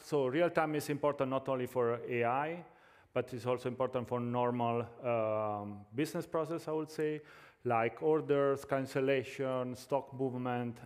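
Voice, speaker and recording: A man's voice: mid-range voice, long reverb tail. A man with an Italian accent giving a tech conference talk, hesitant, with many fillers and restarts. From Italy. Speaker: conference speaker. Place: large conference hall.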